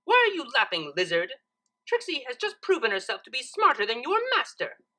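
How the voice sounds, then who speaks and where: A woman's voice: high-pitched, wildly swooping pitch, brisk, bright, forceful tone, voice pushed up, exaggerated voice. A woman voicing a vain female unicorn magician who brags in a grandiose, self-important way for a story reading. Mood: indignant. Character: boastful, vain female unicorn magician, third-person braggart.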